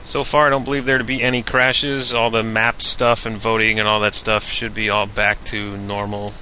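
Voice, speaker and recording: Male correspondent, lowish and very sharp-edged, casual update delivery with some background noise. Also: little pitch movement, normal speed. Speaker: correspondent giving a server update. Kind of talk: casual recorded comedy update. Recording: noisy room hum behind voice.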